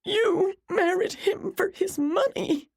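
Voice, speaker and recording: A woman's voice: extremely high pitch, takes it slowly, pitched above the performer's own voice, full character voice. A woman voicing a distraught, tearful woman in an audiobook, sobbing and spitting out accusations. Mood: sobbing, accusing.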